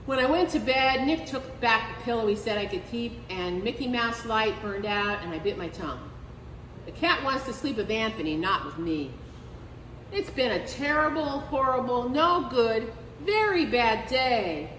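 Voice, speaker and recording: A woman reading a picture book aloud to children as a storytime librarian, expressively, with drawn-out emphasis on key words. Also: fairly high pitch, expressive, fairly bright tone. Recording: echoey reverberant room with faint noise.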